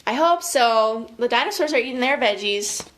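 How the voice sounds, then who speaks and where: An American woman leading children's story time as an educator, expressive and chatty, reading and giving instructions directly to young listeners. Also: a little high, normal speed, poor audio. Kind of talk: animated picture-book reading and casual guided instruction for young children.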